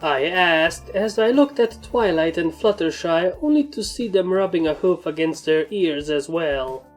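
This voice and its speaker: A woman's voice: lowish voice, lively intonation, measured pace. A woman giving a scripted narration of a story. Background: background music.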